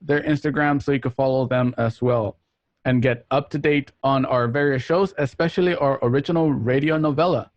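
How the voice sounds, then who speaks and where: A man's voice: medium pitch, moderate pace, muffled recording. A man hosting a show, introducing it in an announcer-like way with casual asides, recorded at home. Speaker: host. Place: at home, remote ensemble session.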